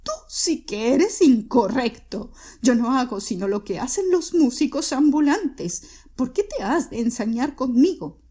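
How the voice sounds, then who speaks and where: A woman's voice: very animated, unhurried, warm tone, breathy edge, exaggerated voice. Woman doing a frantic grieving widow. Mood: distraught. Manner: shrill, desperate pleading. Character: frantic grieving widowed mother, feverish and proud.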